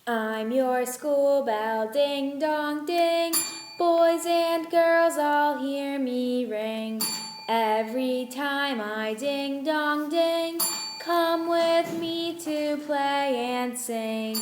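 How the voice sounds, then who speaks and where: Children's radio host, played by a woman: high voice, very slow singsong delivery, roomy. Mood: inviting. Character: singsong children's host playing a friendly bell. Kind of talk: children's radio show acting. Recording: roomy, faint music.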